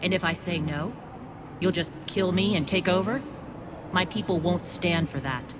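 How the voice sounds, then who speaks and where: A woman's voice: lowish voice, normal speed, heavy background noise, lightly acted. A woman playing a principled, protective alien militia leader in a video game, firm, terse and wary. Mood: defiant. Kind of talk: cinematic video game acting.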